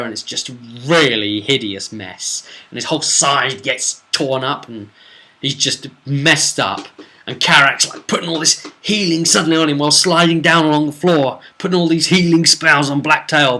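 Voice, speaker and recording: A man casually recounting a tabletop role-playing session as game master, telling it in an informal, animated way with dramatic emphasis. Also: medium pitch.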